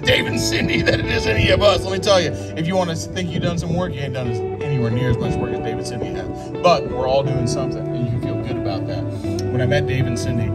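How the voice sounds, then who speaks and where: A man giving a loud, emphatic, plainspoken speech to a gathering outdoors. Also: medium pitch. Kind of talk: rousing speech to a crowd. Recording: distant voice with loud music.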